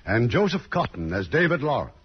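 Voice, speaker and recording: A man's voice: mid-range voice, sing-song, a little fast, a little hiss or hum. A man hosting a radio drama, introducing it in scripted mid-century broadcast style. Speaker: producer and host. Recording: dull old radio recording with music.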